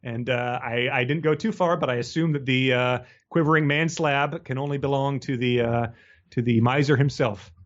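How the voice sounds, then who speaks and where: A man co-hosting a podcast in casual book discussion, with halting, repeating phrasing and a slightly lo-fi recording. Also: mid-range voice, fairly even intonation. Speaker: podcast co-host. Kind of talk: casual book discussion with read-aloud passages.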